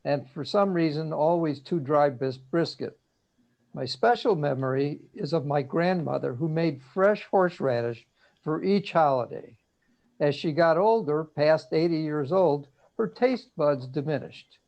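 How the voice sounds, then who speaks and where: A man giving a prepared personal reminiscence in measured short phrases over a muffled video call. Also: a little high, gravelly, darkish tone. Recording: dull, lo-fi video call audio.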